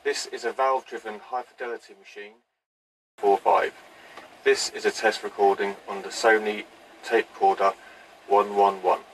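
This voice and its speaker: A man giving a measured, matter-of-fact scripted test recording and narration as a presenter of vintage audio equipment. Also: fairly low pitch, level tone. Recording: distant, noisy reel-to-reel tape test.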